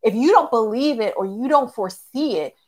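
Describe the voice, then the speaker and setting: A woman's voice: fairly high pitch, animated, fairly quick. A woman explaining things in a casual, motivational way as a podcast co-host, checking in with questions. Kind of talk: casual motivational faith discussion.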